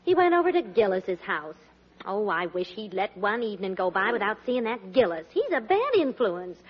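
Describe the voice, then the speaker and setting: A woman's voice: mid-range voice, very animated, moderate pace, slightly rough. An actress playing a long-suffering, mildly fretful wife and mother in a 1940s radio comedy. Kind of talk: 1940s radio sitcom acting. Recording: dull old radio recording.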